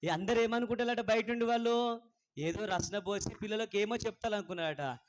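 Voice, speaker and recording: A man's voice: sits high, fairly quick. A man hosting a children's puppet show, encouraging and instructive, often putting questions to the kids. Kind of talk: animated children's ministry presenting.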